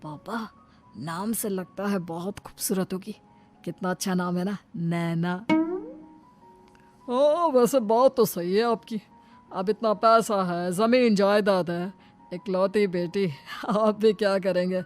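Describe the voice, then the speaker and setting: A woman's voice: medium pitch, slow pace, faint music behind, lightly acted. A woman voicing a greedy, easily outraged family man in a cartoon, exclaiming, wheedling and scolding. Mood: eager, greedy.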